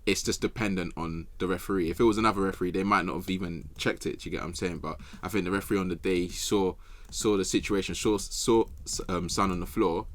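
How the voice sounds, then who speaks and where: A man's voice: low voice, steady tone, fast, hardly any pauses. A young man chatting informally and in slang about football as a podcast panellist. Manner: informal, slangy, repeats phrases. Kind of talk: casual football chat.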